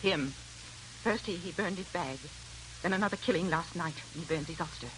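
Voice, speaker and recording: An actress playing an anxious, protective middle-aged aunt in 1940s radio drama, worried and fussy. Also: female voice, fairly low pitch, fairly quick, a bit bright, natural voice. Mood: suspicious, worried. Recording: noisy old radio recording.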